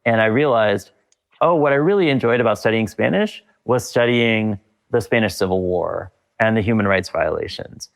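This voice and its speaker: Middle-aged guy on a podcast, low gravelly voice, lots of ums and restarts. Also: animated. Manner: thoughtful, pauses with many fillers and restarts. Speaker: guest, leadership consultant. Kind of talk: reflective conversational interview.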